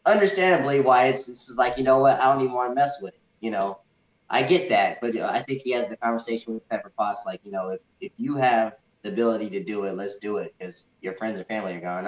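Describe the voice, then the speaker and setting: A man co-hosting a casual movie discussion, speaking haltingly and often repeating words as he works out his points. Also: on the low side. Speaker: co-host of a movie review show. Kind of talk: casual film discussion with live comments. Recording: dull, echoey room sound.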